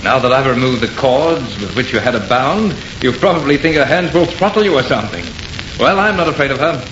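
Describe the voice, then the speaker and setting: A noisy, echoey vintage radio recording carries a man playing an assured, enthusiastic artist. His middle-pitched voice is brisk and theatrical, scoffing at any fear as in a horror serial. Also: animated, close to an everyday voice. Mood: amused, scoffing. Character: confident, scoffing male artist, enthusiastic and unafraid. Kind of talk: old-time radio horror acting. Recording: muffled, echoey old radio recording, heavy noise.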